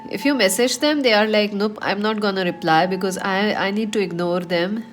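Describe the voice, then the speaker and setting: A woman's voice: medium pitch, brisk, soft background music. A woman with a cold and a slightly nasal voice, giving a chatty tarot reading to her viewers with many fillers. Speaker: tarot reader.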